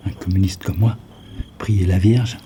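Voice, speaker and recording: Man as wry communist partisan, low voice, conversational, noisy. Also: fairly even intonation, measured pace, dark tone. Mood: uneasy, wry. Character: wry communist male resistance fighter. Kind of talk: audio drama acting.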